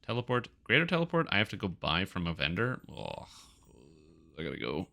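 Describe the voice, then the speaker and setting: A man's voice: low-pitched, wildly swooping pitch, a little fast, background noise. A man with a congested, nasal voice chatting casually and ramblingly on a game live stream, close to the microphone. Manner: rambling, talks to himself and viewers. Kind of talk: casual live-stream chat while gaming.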